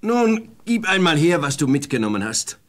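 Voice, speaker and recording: A man's voice: a little high, very animated, moderate pace. An actor playing a plain peasant husband in a fairy-tale audio play, reproachful and exasperated with his foolish wife. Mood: bossy.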